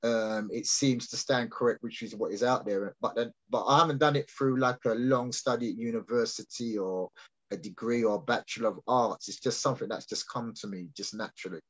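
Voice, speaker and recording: A man's voice: mid-range voice, fairly quick. A man explaining his ideas on a video call, hesitant with many restarts and fillers. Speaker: guest speaker.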